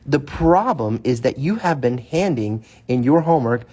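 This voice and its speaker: A man's voice: mid-range voice, expressive, normal speed, mellow, husky. A man voicing a firm, lecturing father bear in a children's storybook. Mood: stern lecturing. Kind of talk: children's storybook read aloud.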